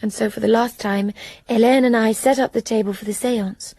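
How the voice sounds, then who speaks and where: An actress playing a frightened young English woman, recounting events in a soft, hushed, breathy way. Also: fairly high pitch, steady tone, brisk, no room sound. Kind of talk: radio drama narration and acting.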